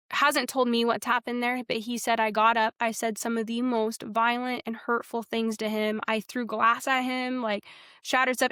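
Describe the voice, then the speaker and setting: A woman's voice: a little high, sharp tone, clean tone, no room sound, studio quality. A young woman frankly telling her personal faith story in a podcast interview, earnest, with many fillers and restarts. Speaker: guest sharing her testimony.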